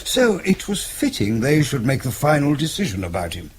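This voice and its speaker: An actor playing a suave, calculating British intelligence chief, speaking in an urbane, formal way in a radio drama. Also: male voice, mid-range voice, wildly swooping pitch, a little hiss or hum. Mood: dry, matter-of-fact. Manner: urbane, measured, formal explaining.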